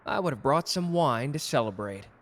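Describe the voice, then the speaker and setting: A man's voice: mid-range voice, expressive, normal speed, fairly bright tone. A man playing a cocky, gallant and protective customer who flirts teasingly in a romantic roleplay audio drama. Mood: teasing, friendly. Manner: suave, teasing, intimate one-sided conversation.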